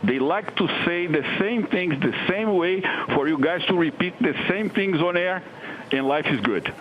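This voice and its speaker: Man, executive interviewee, combative, very animated intonation, slight background noise. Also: high-pitched, normal speed, highs cut off. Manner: combative, emphatic. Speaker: chief executive being interviewed. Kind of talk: argumentative TV interview.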